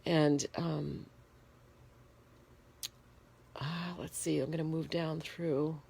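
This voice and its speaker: A woman in a bedroom talking frankly into a handheld phone, congested and shivering, pausing often with fillers. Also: low voice, level tone, gravelly, mellow, husky. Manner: plain-spoken, frank, halting with fillers. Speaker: vlogger. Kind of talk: casual personal video diary. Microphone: phone held in hand.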